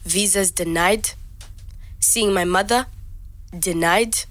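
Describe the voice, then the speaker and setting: A woman playing a reflective young migrant, speaking measured, introspective fragments as a scripted audio diary. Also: medium pitch, a bit bright, dry recording. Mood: pensive, weary. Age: young adult. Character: reflective young African migrant woman recording private night-time notes.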